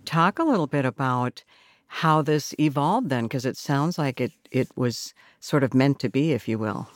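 A woman's voice: extremely low pitch, expressive, moderate pace, no echo, studio quality. A woman in her fifties hosting a radio interview, asking conversational questions. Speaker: radio host. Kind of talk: interviewing a guest.

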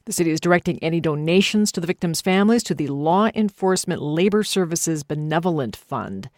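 A woman's voice: low voice, animated, fairly quick, darkish tone, dry recording. An American woman reads local headlines and weather from a script as a news presenter. The studio sound is dry, with no room around her voice.

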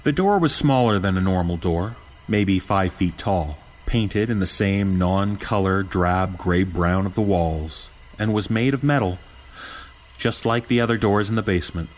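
A man playing a young security guard who recounts an eerie discovery in a deliberate, suspenseful horror podcast narration. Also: low-pitched. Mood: uneasy, suspenseful. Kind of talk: scripted horror story narration.

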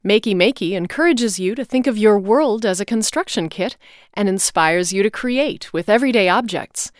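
A woman's voice: mid-range voice, normal speed, dry recording, clean studio sound. A woman with an American accent reading a scripted podcast sponsor message as an announcer.

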